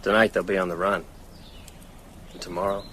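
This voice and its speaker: An actor playing a weary, haunted man out to hunt vampires, brooding and halting in a radio drama. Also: low voice, little pitch movement, a bit bright, a little hiss or hum. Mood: weary resolve.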